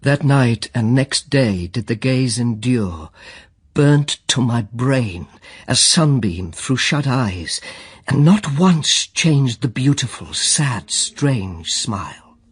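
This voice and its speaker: An actor playing a brooding narrator who recites verse deliberately and gravely in a radio drama. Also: male voice, medium pitch, animated, no room sound, clean studio sound, full character voice. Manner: slow, deliberate poetic recitation.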